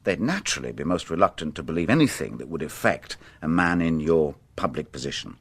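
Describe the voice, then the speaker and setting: A shrewd police inspector in a radio play, with a low voice that moves freely up and down. He is dogged yet deferential, choosing understated, guarded words. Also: very animated, brisk, breathy edge, full character voice. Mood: respectful, insistent. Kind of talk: radio drama acting.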